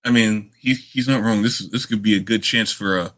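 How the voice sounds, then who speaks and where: A man's voice: fairly low pitch, steady tone, normal speed, fairly bright tone. A man playing a tabletop game, bantering casually in a blunt way.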